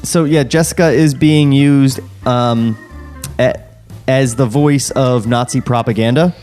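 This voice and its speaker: A man's voice: medium pitch, steady tone, measured pace, darkish tone, clear, resonant tone. A man hosts a casual podcast with music playing faintly behind him. He recaps in a stop-and-start way, dropping sarcastic, foul-mouthed asides in a mid-range voice. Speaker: podcast host recapping a script. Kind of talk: casual chat, joking recap. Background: music bed.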